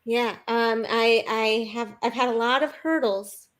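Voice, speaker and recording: Woman with a high voice doing pun banter, giggly, lots of ums. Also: steady tone, unhurried, a bit bright. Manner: playful, hesitant with fillers and giggles. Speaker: pun contest competitor. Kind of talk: quick-fire pun banter.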